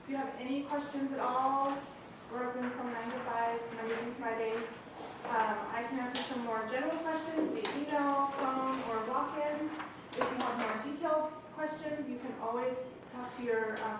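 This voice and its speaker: A woman with a high voice gives brisk, friendly announcements before handing over to a speaker. She is heard from a distance in a very echoey room, with a clear noise floor. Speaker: financial aid office staff. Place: campus lecture room. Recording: echoey hall from far off with noise.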